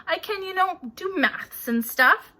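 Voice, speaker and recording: A woman's voice: extremely high pitch, expressive, measured pace, bright, forceful tone, pitched above the performer's own voice, heavily acted. A woman voicing a bewildered, polite young boy who speaks hesitantly.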